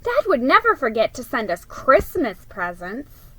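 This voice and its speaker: An earnest, hopeful young girl from a storybook, read by a woman. The voice is high and the pace is unhurried. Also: wildly swooping pitch, sharp tone, breathy edge, exaggerated voice, low-quality recording. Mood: insistent.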